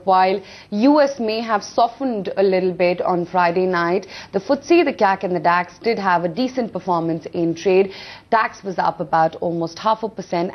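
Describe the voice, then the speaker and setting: A woman's voice: on the low side, highs cut off. A woman with an Indian accent anchoring live business news.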